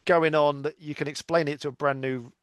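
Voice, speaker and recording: A man's voice: on the high side, steady tone, a little fast, smooth, no room sound, clean studio sound. A British man chatting casually as a panelist on a podcast. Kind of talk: casual chat.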